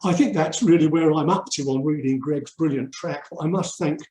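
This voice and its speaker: An older man from England giving a reflective webinar talk, earnest and emphatic, with deliberate pauses. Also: a little high, mellow. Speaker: urban mission speaker and theologian. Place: indoor room, online webinar. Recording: roomy, echoey webinar audio.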